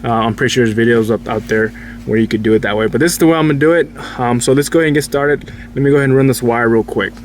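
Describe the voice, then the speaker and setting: A man's voice: mid-range voice, rapid-fire. Roomy, distant man giving casual how-to talk. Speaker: DIY vlog host. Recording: roomy, distant mic, clear hum noise.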